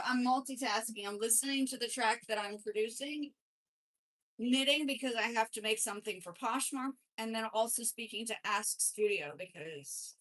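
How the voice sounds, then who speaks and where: A woman's voice: on the high side, fairly bright tone, poor audio. A woman in her forties talks casually to her viewers from a home studio. Her talk is chatty and rambling, full of asides and self-corrections. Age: 40s. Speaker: channel host. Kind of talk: casual chat to camera. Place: home studio with music playing. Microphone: swapped desk mic, then lavalier.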